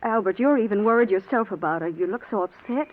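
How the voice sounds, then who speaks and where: An actress as an animated, fretful wife, tinny old radio. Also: female voice, mid-range voice, fast talker, a little hiss or hum, lightly acted. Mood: worried. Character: trusting, fretful young wife, politely insistent. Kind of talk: 1940s radio acting. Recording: thin, phone-like vintage radio recording.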